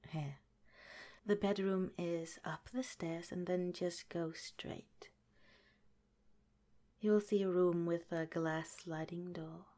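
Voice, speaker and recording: A woman voicing a gentle, caring female head scientist in an ASMR roleplay, whispering softly and reassuringly. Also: fairly low pitch, steady tone, slow pace. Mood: soothing. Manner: soft, tender, reassuring. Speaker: institute director in an audio roleplay.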